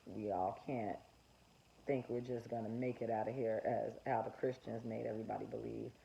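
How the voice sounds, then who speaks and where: A man's voice: lowish voice, little pitch movement, moderate pace, gravelly, mellow. A man talking off the cuff in a solo video monologue, circling his points with many fillers and restarts. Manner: rambling, frequent fillers and restarts. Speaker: vlogger sharing religious dreams. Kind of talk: unscripted spoken monologue. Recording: muffled lo-fi recording with faint hiss.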